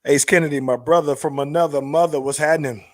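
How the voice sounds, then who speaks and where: A man hosting a podcast, groggy today, telling a long rambling story in a relaxed way and calling his listeners "man" and "bro". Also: a little high, fast talker, no room sound, clean studio sound. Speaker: podcast host. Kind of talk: casual podcast chat.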